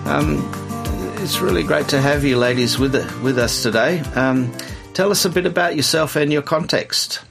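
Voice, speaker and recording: A man's voice: on the high side, normal speed, vocal fry, over music. A man hosting a faith-based discussion, speaking hesitantly with many fillers and restarts. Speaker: host.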